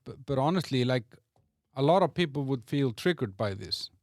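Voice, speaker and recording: A man's voice: mid-range voice, animated, normal speed, darkish tone, no room sound, clean studio sound. A man from Iceland, hosting a podcast, who argues in a hesitant, reasoned way and often repeats words. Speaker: podcast host. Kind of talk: casual debate chat.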